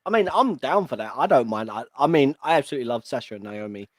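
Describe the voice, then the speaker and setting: A man's voice: medium pitch, big pitch swings, fast, no room sound, studio quality. A man co-hosting a livestream, chatting in an enthusiastic, rambling way. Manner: enthusiastic, rambling, laid-back. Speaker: co-host of a wrestling livestream. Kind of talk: casual livestream chat.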